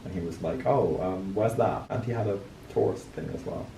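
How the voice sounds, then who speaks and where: A young Englishman chats casually in a very low voice. He sounds distant from the mic in an echoey, noisy setting. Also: a little fast, creaky. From London. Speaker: interviewee. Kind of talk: casual anecdote.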